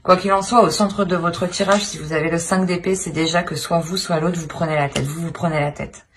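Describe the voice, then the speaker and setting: Woman w/ lowish voice doing a tarot reading, kinda hesitant, keeps rewording stuff, bit of background noise. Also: steady tone, fairly quick. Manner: hesitant, searching, repeats and rephrases phrases often. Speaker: tarot reader, channel host. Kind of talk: improvised tarot card reading addressed to viewers.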